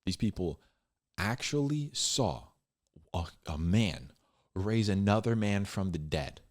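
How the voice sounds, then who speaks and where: A man giving informal, off-the-cuff Bible commentary in a conversational, halting way, repeating phrases for emphasis. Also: lowish voice, lively intonation, darkish tone. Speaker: Bible storyteller and commentator, host.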